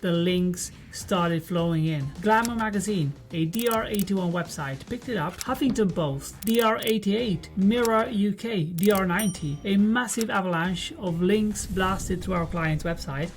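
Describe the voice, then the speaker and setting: A woman giving an enthusiastic promotional pitch for an agency's campaign. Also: unhurried, hardly any pauses, faint music behind. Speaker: agency marketer in an ad clip.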